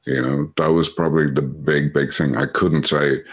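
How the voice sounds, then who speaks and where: Irish man, middle-aged, extremely low voice, rambling, dry humor, video call. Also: flat delivery, moderate pace, breathy edge, dull audio. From Ireland. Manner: rambling, many fillers, dry humor, swears a bit. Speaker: recovery meeting speaker. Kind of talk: casual personal sharing on a video call.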